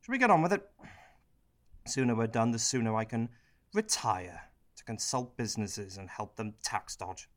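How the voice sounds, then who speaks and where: A man's voice: fairly low pitch, animated, moderate pace, fairly bright tone. A man playing a cynical, self-pitying prime minister in a satirical radio play, sardonic and bitter as he confides in the audience. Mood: wry, resigned.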